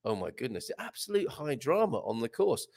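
A man's voice: medium pitch, big pitch swings, normal speed, gravelly, darkish tone. A man hosting a casual live stream, reading items aloud and rambling off into jokey asides. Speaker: host of an expat live stream.

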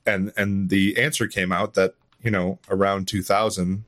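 A man with a fairly low voice talks as a guest on a casual interview show. He explains things informally, in a slightly halting, storytelling way. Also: flat delivery, fairly bright tone. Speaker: interview guest, audio drama writer.

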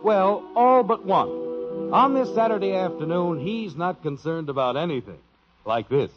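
A man narrating a 1950s radio comedy in a wry, measured way. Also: sits high, animated, lo-fi sound. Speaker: announcer narrator. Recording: old radio broadcast with music bed.

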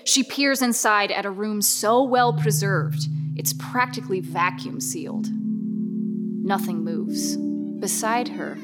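A woman narrating a horror radio drama as a solemn storyteller, in a measured, dramatic manner. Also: mid-range voice, natural voice. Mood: solemn, suspenseful. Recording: music bed under narration.

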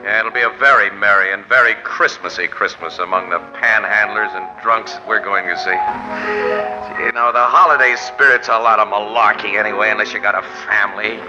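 An actor playing a world-weary, gruff but soft-hearted newspaper photographer in slangy 1940s radio style. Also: male voice, on the low side, steady tone, fairly quick, music in the background, natural voice. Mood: cynical. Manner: slangy, wry tough talk. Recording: noisy, dull 1940s radio recording.

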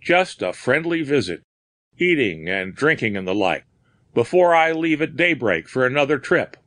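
A man voicing an old, grizzled sea captain who talks in old-fashioned sailor's phrasing, gruff and grieving. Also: medium pitch, sing-song, normal speed, fairly bright tone, no room sound, pitched above the performer's own voice. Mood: grieving, guarded. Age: older.

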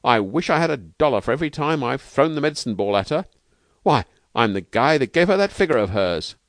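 A man narrator puts on a tough, good-hearted trainer from a novel. He talks in brash street slang with emphatic swings of pitch, close and dry. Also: sing-song, fairly quick, no room sound. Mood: chummy, sympathetic.

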